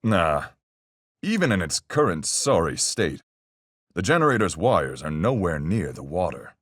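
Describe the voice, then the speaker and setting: A deep-voiced seafaring man in a detective game, calm and methodical. His husky voice moves freely up and down as he argues in folksy sailor speech. The recording is dry and close. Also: dry recording, full character voice. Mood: measured, reasoning. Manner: folksy nautical speech, measured and reasoning. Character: calm seafaring man, salty sailor-sleuth reasoning through a murder. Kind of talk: video game voice acting, deliberate argument.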